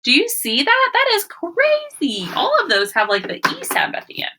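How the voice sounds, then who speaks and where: A young adult woman reading aloud and teaching young children, expressive and sing-song, often addressing them with questions. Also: very high-pitched, normal speed. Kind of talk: read-aloud story and phonics lesson for children.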